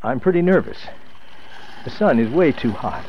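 A man's voice: mid-range voice, very animated, moderate pace, muffled recording, slight background noise. An actor playing a nervous, weary divorced man with a hesitant delivery in a radio drama. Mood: frightened, panicky. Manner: hesitant, stammering.

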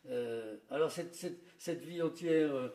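A male radio host moderating a conversation, halting and repeating his words. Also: a little high, dark tone. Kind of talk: interview moderation. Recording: roomy, distant from mic.

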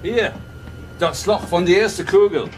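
An actor playing a brisk, businesslike policeman in a radio play, speaking in clipped phrases. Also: sits high, a little hiss or hum, close to an everyday voice. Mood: explaining. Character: brisk male police colleague reporting findings.

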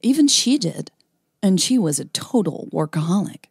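A woman's voice: medium pitch, big pitch swings, normal speed, vocal fry, husky, no room sound, clean studio sound. A woman hosting a podcast and narrating a romance story, sounding a little tired. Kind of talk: scripted romance narration and casual podcast outro.

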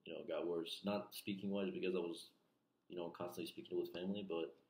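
A young man from Iran, whose first language is Farsi, talks in a very low voice. He answers slowly and hesitantly, with lots of fillers. His voice bounces around a small, echoey bedroom. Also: level tone, a little mellow. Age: young adult. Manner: hesitant, many fillers like "like" and "you know". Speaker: interviewee. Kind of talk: casual interview. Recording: echoey room.

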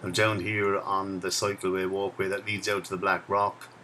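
A man's voice: sits low, little pitch movement, moderate pace, bright, forceful tone, slight background noise. A man, walking and chatting about the local sights as he films outdoors. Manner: chatty, reminiscing as he walks. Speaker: video blogger. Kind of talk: casual walking commentary. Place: outdoor riverside path in a town.

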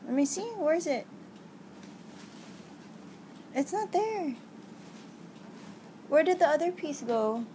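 A woman's voice: sits high, expressive, slow pace, long pauses, noisy background. A young woman filming her godchild at home, asking short, encouraging questions in a playful way. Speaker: godmother filming a child's pretend cooking show. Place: living room at home. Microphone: camera held by the speaker.

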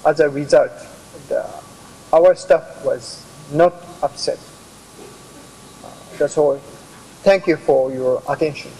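A middle-aged Japanese man with a Japanese accent, a hospital doctor presenting slides, halting with many pauses. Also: fairly high pitch, dark tone, slight background noise, rough recording. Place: office with bookshelves. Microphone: video call.

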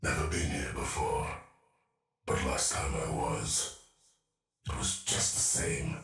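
An actor playing a vengeful, paranoid cyborg assassin in a video game, menacing and unhinged, muttering through robotic processing. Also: male voice, extremely low pitch, slow pace, a bit bright, heavily acted. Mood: haunted, confused. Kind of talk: video game character voice lines. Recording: roomy, distant, music behind.

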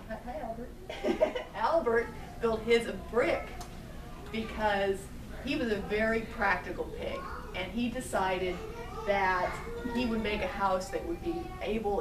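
A middle-aged woman telling a children's story with puppets, slowly and gently, asking the child along the way. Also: medium pitch. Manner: slow, gentle, asks the child questions. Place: indoor room with puppet stage. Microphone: far camera. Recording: echoey room, far camera, noisy.

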